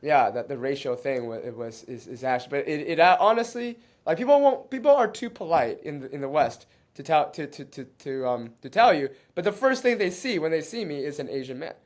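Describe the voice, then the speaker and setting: Halting, reflective male interview guest. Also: a little high, sing-song. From born in China, raised in Canada. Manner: halting, many restarts, laughs often.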